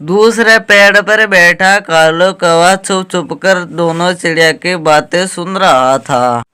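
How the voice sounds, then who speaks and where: A woman narrating a scripted animated moral story as a storybook narrator. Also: fairly low pitch, slow pace, clean tone.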